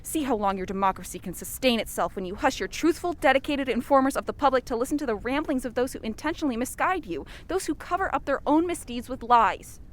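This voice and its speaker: A woman's voice: high-pitched, lively intonation, quick pace, hardly any pauses. A woman playing an earnest, easily outraged reporter who speaks emphatically in an audio drama. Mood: indignant. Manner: emphatic, righteous, wordy.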